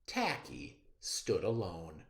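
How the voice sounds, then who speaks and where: A reader narrating a children's story aloud in a theatrical, expressive style. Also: deep voice, slow pace, vocal fry, reverberant.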